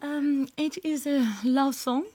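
A woman's voice: sits high, steady tone, warm tone. A woman from Turkey whose first language is Turkish, speaking English with a Turkish accent as a radio guest, hesitant with many fillers. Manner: hesitant, many pauses and fillers, laughs easily. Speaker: guest singer. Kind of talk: radio interview.

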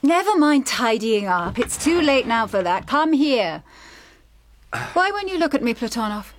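A woman's voice: on the high side, sing-song, unhurried. An actress playing a bold, commanding woman who teases and questions a man in a radio play. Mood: teasing. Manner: imperious and mocking. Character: bold, teasing older widow, commanding.

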